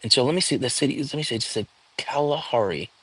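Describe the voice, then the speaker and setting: A man hosting a casual live stream, chatting and reading viewer comments aloud with lots of fillers and restarts, in a calming voice. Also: medium pitch, fast talker, husky, no echo, low-quality recording. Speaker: livestream host.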